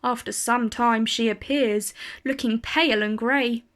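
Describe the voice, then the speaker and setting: A woman reading a children's picture book aloud as a storyteller, with deliberate phrasing and dramatic emphasis. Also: fairly high pitch, steady tone, a bit bright.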